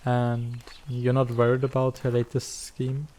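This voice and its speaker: A man's voice: mid-range voice, monotone, a little slow, darkish tone, natural voice. A man playing a snarky, laid-back but caring teenage schoolboy in a comic fan dub, with a dry, sarcastic delivery. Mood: wry, skeptical.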